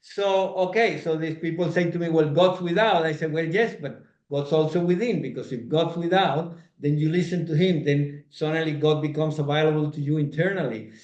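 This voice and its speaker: A man's voice: on the high side, moderate pace, hardly any pauses, darkish tone. A middle-aged man from Venezuela, talking earnestly as an interview guest in a reflective spiritual conversation, gesturing broadly as his phrases loop and circle back. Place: home room, indoors. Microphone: video call webcam. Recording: roomy, dull video-call audio.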